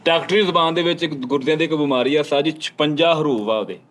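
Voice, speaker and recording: A man chatting casually in group banter as a panel member on a talk show, quick to interject. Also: on the high side, fairly bright tone.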